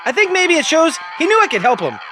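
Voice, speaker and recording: A man's voice: extremely high pitch, sing-song, fast, over music, pitched above the performer's own voice. A man voicing a nervous, hesitant small-time crook who rambles and is eager to please. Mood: anxious boasting.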